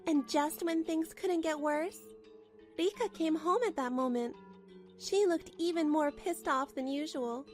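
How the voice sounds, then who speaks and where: A woman's voice: sits high, a little slow, soft background music. An actress playing a weary, put-upon housewife who tells her own story in a manga dub, plaintive and apologetic. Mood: weary narration. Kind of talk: first-person scripted story narration, manga dub.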